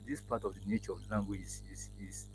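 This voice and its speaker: Halting man on a noisy call. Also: fairly low pitch, fairly even intonation. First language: Yoruba. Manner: halting, many restarts and fillers. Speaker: AI engineer guest. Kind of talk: casual interview over video call. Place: indoor office room. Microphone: video call laptop mic. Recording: noisy video call audio.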